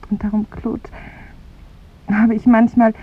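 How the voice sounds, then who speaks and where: A woman acting in a 1950s German radio drama as a charming, melancholy young lover, confessing theatrically with hushed, breathy phrase endings. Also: a little high, level tone, measured pace. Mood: anguished, lyrical. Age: young adult. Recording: thin, phone-like 1950s radio recording.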